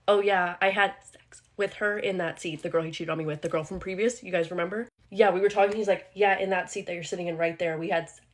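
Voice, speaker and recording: Young woman, slightly low pitch, casual storytime, candid, chatty, sarcastic asides.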